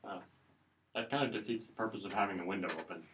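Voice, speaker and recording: A man's voice: lowish voice, quick pace, fairly bright tone, rough recording. A man playing a tabletop roleplaying game, chatting casually and teasing in a jokey way. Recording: clear background noise at game table.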